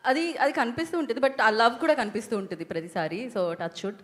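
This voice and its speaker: A woman's voice: on the high side, fairly quick. A woman with a Telugu Indian accent hosting a casual stage interview, chatty and prompting, mixing Telugu with English words. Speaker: event host. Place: film promotion event.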